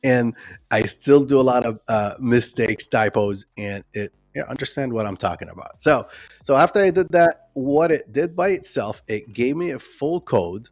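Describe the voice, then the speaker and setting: A man's voice: medium pitch, expressive, moderate pace, no echo, clean studio sound. A man whose first language is not English presents a live online workshop in a loose, conversational way, with many ums and restarts, and reads out viewer comments.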